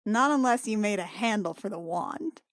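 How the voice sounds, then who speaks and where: A woman's voice: mid-range voice, lively intonation, normal speed, no echo, clean studio sound. A woman playing in a tabletop role-playing podcast, bantering casually and improvising in character.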